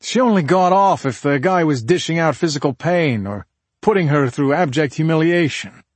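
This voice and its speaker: Man playing crude husband, crime audiobook, hot-tempered, blunt, slangy, raised pitch, dry sound. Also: animated, moderate pace, dry recording. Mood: matter-of-fact.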